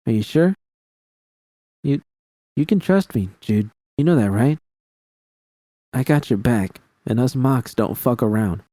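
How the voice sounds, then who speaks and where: A man's voice: medium pitch, expressive, a little slow, long pauses, mellow, dry recording, studio quality, pitched above the performer's own voice, close to an everyday voice. A man voicing a tough, protective woman friend in an audiobook, blunt and streetwise. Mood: sympathetic. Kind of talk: audiobook character dialogue.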